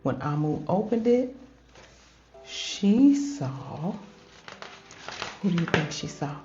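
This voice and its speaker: A woman's voice: fairly low pitch, big pitch swings, slow pace, highs cut off, background noise. An older woman reading a picture book aloud expressively, in a grandmotherly manner, addressing young children and asking them questions.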